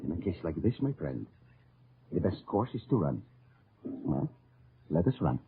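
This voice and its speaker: Sly French resistance leader, swooping voice. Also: male voice, deep voice, very animated, normal speed, creaky, full character voice. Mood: calm, guarded. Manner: formal, wryly courteous phrasing. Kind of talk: 1940s-50s radio drama acting. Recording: muffled noisy old radio recording.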